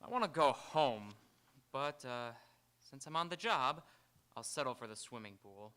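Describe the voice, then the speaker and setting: A man's voice: medium pitch, expressive, moderate pace, sharp tone, smooth. A man playing a wry, hard-working writer who is awkward among the rich, in hesitant live radio theatre acting. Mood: flustered, wry. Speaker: stage radio actor. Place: live on an auditorium stage.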